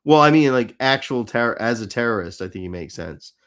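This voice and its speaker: A man's voice: medium pitch, lively intonation, brisk, a bit bright, clean tone. A man hosting a live stream, talking in a casual, rambling and opinionated way about fan interests.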